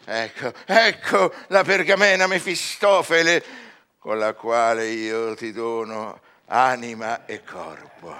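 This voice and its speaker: An actor playing a proud, restless scholar in a theatrical verse radio drama, declamatory and swinging between hushed and loud delivery. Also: male voice, mid-range voice, animated, slow. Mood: eager.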